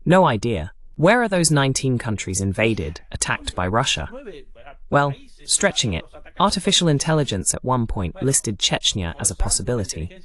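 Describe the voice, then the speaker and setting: Animated man hosting political commentary. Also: mid-range voice, moderate pace. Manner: argumentative, rhetorical questions, emphatic repetition. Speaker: political show host.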